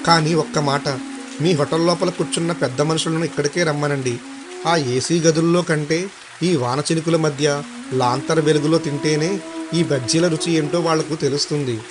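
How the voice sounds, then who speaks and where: An earnest village man voiced by a male actor speaks respectfully in a somewhat high voice. It is animated moral-story dialogue, with prominent music underneath. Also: normal speed, lightly acted. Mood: firm, respectful.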